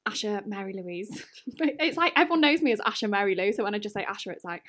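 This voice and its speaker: A woman's voice: mid-range voice, animated, fast, a bit bright. A woman in her late twenties from North Wales, co-hosting a podcast in a bubbly, giggly, chatty way as she tells stories. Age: late 20s. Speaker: podcast co-host. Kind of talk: casual chat.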